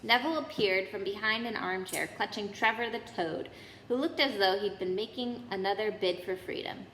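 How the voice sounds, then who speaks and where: A woman reading a children's storybook aloud in an expressive narrating style. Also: medium pitch, normal speed. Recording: echoey hall, background noise.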